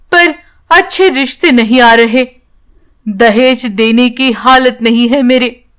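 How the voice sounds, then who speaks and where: A woman's voice: on the high side, fairly bright tone. A woman voicing a weary, kind-hearted old grandmother with a pleading, emotional manner in a storybook tale. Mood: worried.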